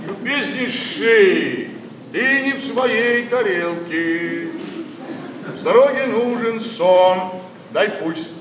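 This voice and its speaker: A patronizing, fussy older gentleman in a stage comedy. He speaks very slowly in a fairly high voice, far from the microphone in a reverberant, noisy hall. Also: expressive. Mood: alarmed, soothing. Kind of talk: verse stage comedy, radio play. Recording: distant, noisy, echoing theatre hall.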